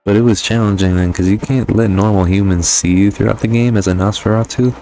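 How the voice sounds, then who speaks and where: Deep-voiced sweary man reviewing games. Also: fairly even intonation, fairly quick, warm tone, a little hiss or hum, low-quality recording. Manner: informal, heavily sweary. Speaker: gaming YouTuber. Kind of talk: casual video game review commentary.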